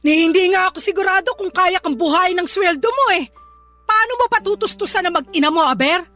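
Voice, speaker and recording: A woman acting in a radio drama as a scornful, nagging older mother-in-law with a sharp, sarcastic, scolding manner. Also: extremely high pitch, brisk, faint music behind. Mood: indignant. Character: scornful, nagging older mother-in-law who belittles her daughter's partner.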